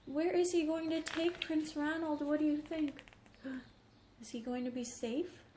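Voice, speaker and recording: A woman's voice: high voice, fairly even intonation, unhurried, dark tone, slight background noise. A woman teacher reading a story aloud to young children, explaining things simply and checking in with questions. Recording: roomy, echoing home recording.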